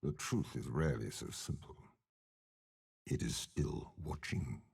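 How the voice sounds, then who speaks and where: Old scheming game warlord, extremely low pitch, husky rasp, unhurried, menacing, disdainful. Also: male voice, darkish tone, full character voice. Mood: cold, ominous. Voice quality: gravelly, husky. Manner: slow, deliberate, disdainful. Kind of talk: dramatic game character voice lines.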